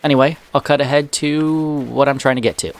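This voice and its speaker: A man's voice: mid-range voice, fairly even intonation, brisk. A man hosting a let's play, chatting and reacting aloud to a game while slightly sick, his voice a bit off. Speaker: gaming channel host.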